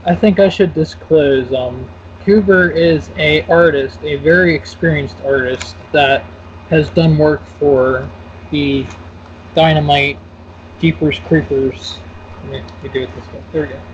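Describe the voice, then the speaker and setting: A man's voice: fairly high pitch, fairly even intonation, unhurried. A man hosting a casual online interview, loose and rambling, with fillers and self-corrections. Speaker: host and interviewer. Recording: dull lo-fi audio with faint noise.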